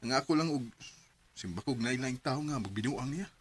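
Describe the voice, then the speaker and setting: A man narrating and acting as the lead in a radio drama, earnest and gentle in manner. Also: mid-range voice, animated, fairly quick, slight background noise. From Cebu, Philippines.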